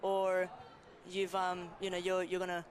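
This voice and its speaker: A woman's voice: on the low side, completely flat delivery, a little slow. A woman, a goalkeeper, giving chatty, self-deprecating match commentary with frequent laughter at an outdoor stadium. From Greek background.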